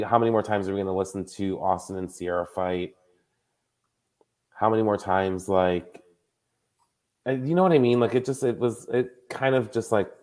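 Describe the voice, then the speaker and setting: A man in his late thirties with a fairly low voice, hosting a casual podcast. He chats loosely and quickly strings thoughts together with lots of filler words. His tone stays relaxed and conversational. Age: 30s. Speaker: podcast host. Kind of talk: casual chat.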